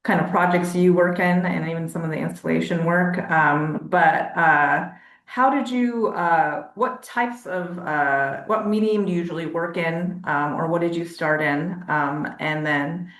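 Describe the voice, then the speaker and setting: A woman hosting an informal online artist interview as a museum curator, speaking in a hesitant, halting way. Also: on the low side, a bit bright. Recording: roomy, echoey sound.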